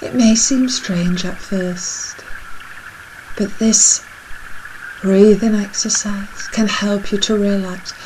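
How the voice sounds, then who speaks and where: A woman leading a guided breathing meditation in a soothing, measured, soft and breathy voice that nears a whisper at times. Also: medium pitch, steady tone, a little hiss or hum, poor audio. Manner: soothing, measured, unhurried instruction.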